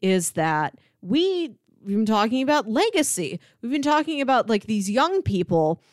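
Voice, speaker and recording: Lively higher-voiced woman, dry close sound. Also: normal speed, dry recording. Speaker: podcast host. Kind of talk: casual pop-culture podcast chat.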